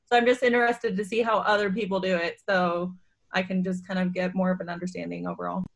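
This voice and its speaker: A woman from Northern California chatting on a video call as a seminar participant, speaking in a relaxed, conversational way. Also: on the low side, fast, gravelly. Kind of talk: casual chat.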